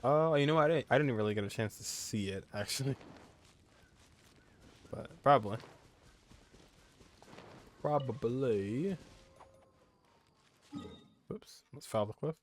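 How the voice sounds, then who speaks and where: A man's voice: mid-range voice, unhurried, long pauses, slight background noise. A man doing casual gameplay commentary and reading dialogue choices aloud as he plays. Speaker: gamer playing through a story quest. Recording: game music behind the voice.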